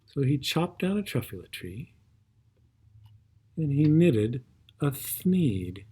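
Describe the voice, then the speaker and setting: A man's voice: mid-range voice, sing-song, slow pace, warm tone, slightly rough. A man reading a rhyming children's story aloud with theatrical, rhythmic phrasing and brief asides. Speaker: storybook reader.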